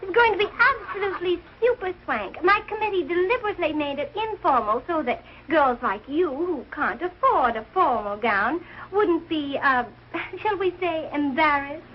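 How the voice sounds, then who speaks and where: A woman's voice: very high-pitched, lively intonation, normal speed. A woman playing a snobbish, catty rich teenage girl with an affected, gushing, falsely sweet manner in a 1940s television sitcom. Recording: dull, hissy old television soundtrack.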